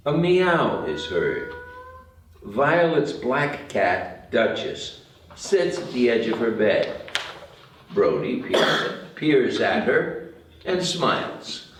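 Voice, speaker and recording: A man's voice: mid-range voice, big pitch swings, very slow, a little hiss or hum. A man reading out stage directions in scripted narration at a table read. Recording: very echoey room, distant mic.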